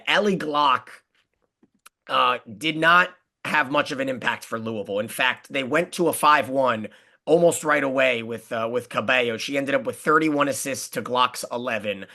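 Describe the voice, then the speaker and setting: A man's voice: mid-range voice, pushed and bright. A man hosting a sports podcast, recapping matches with enthusiasm and plenty of statistics. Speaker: podcast host.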